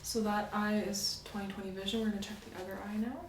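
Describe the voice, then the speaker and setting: A soft-spoken woman in an ASMR medical roleplay, gently explaining exam steps as the examiner. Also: medium pitch, flat delivery, fast talker. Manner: gentle, step-by-step clinical explaining. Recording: roomy echo, distant mic, faint noise.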